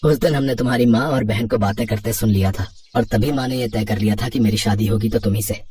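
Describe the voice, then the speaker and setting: A man's voice: lowish voice, quick pace, darkish tone, close to an everyday voice. A man playing a sincere young husband and dutiful son who speaks in an earnest, explanatory way. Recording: noisy, distant voice recording.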